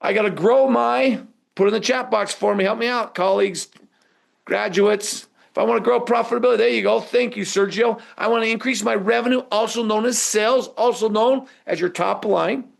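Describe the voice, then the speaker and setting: A man's voice: sits high, fairly even intonation, fairly quick, vocal fry. A man teaching a live webinar in a conversational way, prompting listeners with rhetorical questions. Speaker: webinar instructor.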